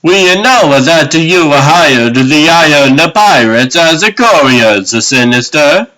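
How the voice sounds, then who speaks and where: Man acting a stern, slow-spoken sheriff judge. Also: a little high, expressive, bright, forceful tone, full character voice, rough recording. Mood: accusing. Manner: formal, commanding, deliberate. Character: stern, authoritative sheriff judge reading out charges. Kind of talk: comic dub character acting.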